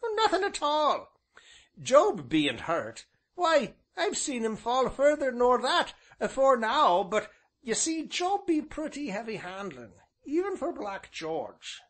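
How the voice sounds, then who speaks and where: A wizened, gossipy old countryman, as a male audiobook narrator puts him on, pitched far above the reader's own voice. He drawls and quavers in a thick rural southern English dialect, swooping up and down as he spins his tales. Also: huge pitch swings, takes it slowly, a bit bright, exaggerated voice. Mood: chatty, knowing.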